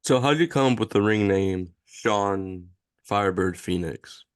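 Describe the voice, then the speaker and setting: A male podcast host with a low, smooth voice. He keeps his questions short and plain, and the sound is dry. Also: a little slow, no echo. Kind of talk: casual interview.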